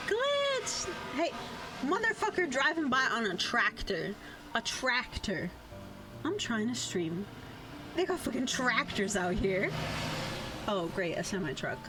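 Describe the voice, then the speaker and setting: Playful, sweary woman streamer over music. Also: fairly high pitch. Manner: playful, sweary, slangy, theatrical. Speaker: livestreamer. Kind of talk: casual livestream chat with chat readouts. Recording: background music under voice.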